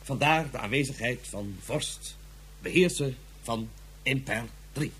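A man's voice: medium pitch, measured pace, a bit bright, a little hiss or hum, lightly acted. An actor playing an officious male police inspector, speaking in a bureaucratic, pompous way. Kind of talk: radio drama acting.

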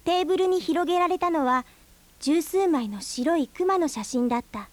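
A woman's voice: extremely high pitch, animated, moderate pace, dry recording. A woman playing an earnest, anxious young girl in a Japanese radio drama, a niece who tells her own story and questions her elders politely. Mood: reflective, narrating. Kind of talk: Japanese radio drama acting with first-person narration. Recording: 1990s FM radio drama on cassette.

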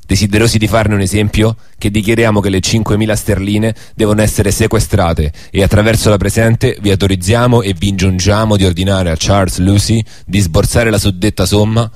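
A man's voice: on the low side, a little mellow, dry recording, clean studio sound, natural voice. A man playing a grave rebel leader who reads out a workers' declaration formally and solemnly in a radio drama.

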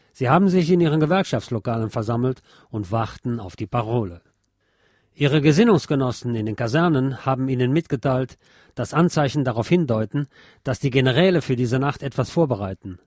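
A man's voice: medium pitch, darkish tone, no room sound, studio quality. A man reading a scripted historical narration aloud as a narrator.

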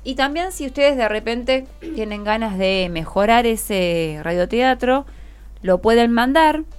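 A woman's voice: fairly high pitch, animated, unhurried, clean tone, soft background music. A woman with a Rioplatense Argentine accent hosting a friendly radio interview in an encouraging, conversational way. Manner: encouraging, prompts with short questions and affirmations. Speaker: radio host interviewing schoolchildren. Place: radio studio.

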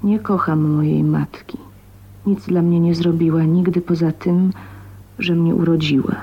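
Breathy, husky, lonely young spinster. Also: female voice, fairly low pitch, level tone. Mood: bitter, sorrowful. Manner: introspective, halting, half-whispered. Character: bitter, lonely, ailing 29-year-old spinster heroine, soliloquizing. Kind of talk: 1980s radio drama monologue. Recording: dull, muffled radio recording.

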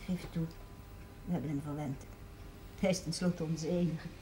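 A woman's voice: sits low, steady tone, moderate pace, dark tone, lightly acted. An actress playing a bitter, grieving mother who speaks in formal, accusing questions in a television period drama. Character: bitter grieving mother, reproaching a village priest. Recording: faint background hiss, 1970s television soundtrack.

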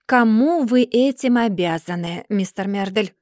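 A woman's voice: mid-range voice, a little slow, darkish tone, no echo, studio quality, natural voice. A woman voicing a haughty society lady who scolds her husband in a cool, superior way, in a storybook reading. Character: haughty cold society lady, a rich man's wife.

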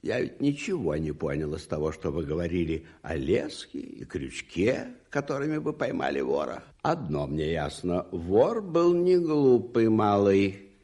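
An actor playing a haughty, curious aristocrat in a radio drama, with theatrical, imperious questioning and a husky voice. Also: male voice, low-pitched, sing-song, measured pace, warm tone, heavily acted. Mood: imperious, smooth.

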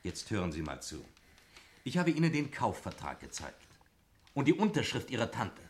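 A man's voice: lowish voice, wildly swooping pitch, moderate pace. An actor playing a cornered, indignant suspect in a 1960s radio drama, blustering and stammering through his protests. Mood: defensive, protesting. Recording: faintly noisy 1960s radio recording.

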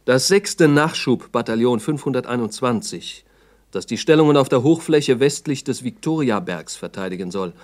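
A man's voice: medium pitch, expressive, normal speed, darkish tone. A man narrating a 1960s radio play as a sober, formal, factual report.

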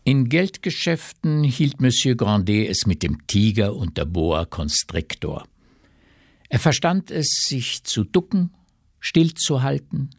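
A man's voice: mid-range voice, slightly rough, dry recording, clean studio sound. A man narrating a literary radio drama as storyteller.

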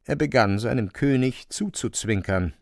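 A man narrating a literary radio play with a creaky voice. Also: medium pitch, expressive, moderate pace, darkish tone, no room sound, clean studio sound.

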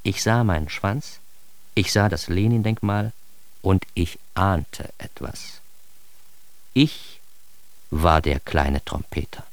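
A man's voice: low voice, slow, long pauses, no echo. An actor playing a self-deprecating, bitter grown son who narrates his youth in a confessional radio-play monologue, addressing the listener directly. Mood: wry, self-mocking. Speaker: narrator and protagonist of a radio drama.

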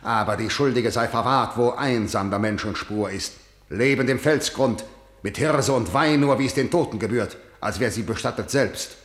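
A man's voice: medium pitch, normal speed, echoey room, natural voice. An actor playing a harsh, vengeful old king who declaims classical verse in a commanding manner for radio drama. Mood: angry, commanding. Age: older.

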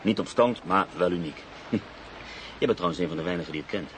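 Actor as male journalist-narrator, wry and reflective, formal phrasing, very deep voice, radio drama. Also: noisy background, natural voice. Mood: calm, genial. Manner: measured, reflective, formal wording. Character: cultured, world-weary male journalist and writer, calmly ironic. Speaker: lead actor and first-person narrator.

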